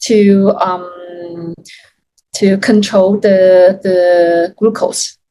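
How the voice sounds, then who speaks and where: Chinese-native woman, halting friendly chat. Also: lowish voice, level tone, dark tone, slight background noise, rough recording. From Yunnan, China. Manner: hesitant, many restarts and fillers, laughs often. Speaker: guest, freelance Chinese teacher. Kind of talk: casual interview chat.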